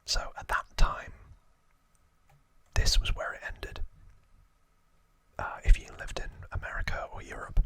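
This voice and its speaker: A man hosting ASMR, whispering informal trivia narration in a hushed, rambling way with casual asides. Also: unhurried, long pauses, no room sound. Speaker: ASMR host.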